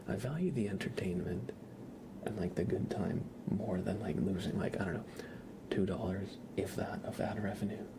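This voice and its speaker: A soft-spoken man chatting casually on an ASMR livestream, drifting in and out of a whisper. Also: low voice, vocal fry, background noise.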